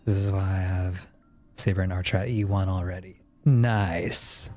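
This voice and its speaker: A man's voice: low voice, steady tone, normal speed, darkish tone. Male game streamer chatting casually over faint music. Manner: exclamatory reactions, mutters and reads game text aloud. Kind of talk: casual live gaming commentary.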